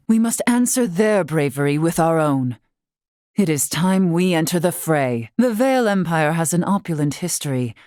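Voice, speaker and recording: A solemn warrior noblewoman from a fantasy game, pitched low and recorded very dry. She speaks formally and with measure, in courtly, old-fashioned phrasing, dutiful and composed. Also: warm tone, dry recording. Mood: grave, resolute, then solemn, measured. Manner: formal, measured, archaic diction. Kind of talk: scripted video game character dialogue.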